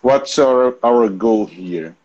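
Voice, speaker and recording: A man in his forties with a Brazilian accent, a native Portuguese speaker, hosting a casual online interview in a halting way with repetitions. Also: medium pitch, a little mellow, highs cut off. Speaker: interview host. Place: home balcony.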